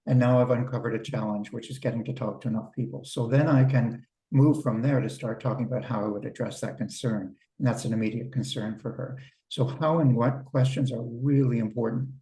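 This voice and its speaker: A man coaching small business owners on a video call, talking in a relaxed, conversational way and often repeating words as he starts a thought. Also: mid-range voice, brisk. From Canada. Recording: roomy sound from a distance.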